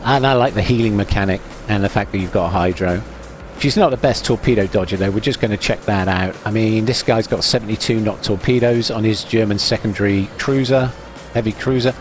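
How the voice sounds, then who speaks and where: A man with a fairly low voice talks through a naval battle as he plays it. His commentary is loose, chatty and wry, and he often pokes fun at himself. Soft music runs underneath. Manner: chatty, wry and self-deprecating, thinks aloud while playing. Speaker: gaming video host. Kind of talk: live gameplay commentary.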